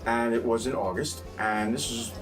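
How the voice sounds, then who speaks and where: A man's voice: mid-range voice, steady tone, moderate pace, over music, rough recording. A middle-aged man from New York with a New York accent, chatting as an interview guest and cracking playful jokes to the audience. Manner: joking, playful asides to an imagined audience. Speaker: guest, accountant. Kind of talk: casual interview chat.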